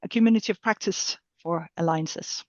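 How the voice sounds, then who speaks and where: A woman briefly introducing herself on a video call as a project manager, in a brisk manner. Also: lowish voice, darkish tone, no room sound. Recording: dry, dull lo-fi call audio.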